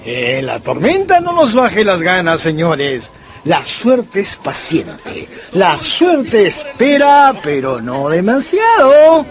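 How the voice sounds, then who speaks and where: Slow, high-pitched showy casino croupier. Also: male voice, huge pitch swings, music in the background, heavily acted. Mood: coaxing. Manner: drawn-out, projected calls. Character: showy male casino croupier, courteous, calling bets. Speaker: voice actor. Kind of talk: theatrical radio drama.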